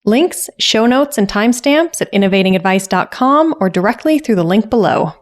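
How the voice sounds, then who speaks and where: A woman's voice: medium pitch, animated, moderate pace. A woman hosting a podcast, reading an upbeat intro and asking questions with enthusiasm. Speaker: podcast host. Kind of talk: scripted intro and interview.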